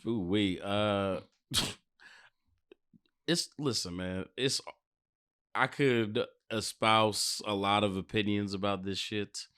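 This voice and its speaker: A man's voice: lowish voice, fairly even intonation, slow, long pauses, fairly bright tone, clean tone. An American man chatting casually as a podcast co-host, talking in a slangy, profane, emphatic way. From United States.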